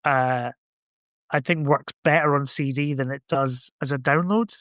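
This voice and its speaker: A man's voice: medium pitch, unhurried, a bit bright, no echo, clean studio sound. A man chatting casually on a podcast in an informal, reflective and enthusiastic way. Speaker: podcast speaker. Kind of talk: casual chat.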